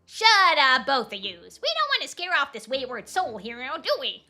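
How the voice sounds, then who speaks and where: A bright, high-pitched male alpaca played by a woman heads a gang in a game. He is bossy and streetwise, heckling in swooping wiseguy talk over background music. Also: wildly swooping pitch, normal speed, full character voice. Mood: exasperated.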